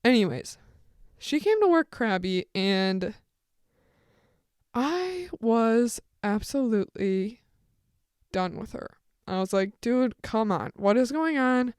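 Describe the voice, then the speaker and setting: A teenage girl chats through a rambling story on her solo podcast, full of side comments. Her voice is smooth and clear with a slightly deep tone, recorded close and dry with no room sound. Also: expressive, slow pace, long pauses, darkish tone, no echo. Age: teens. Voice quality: fairly deep voice for a woman. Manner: rambling, chatty, full of asides. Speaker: podcast host.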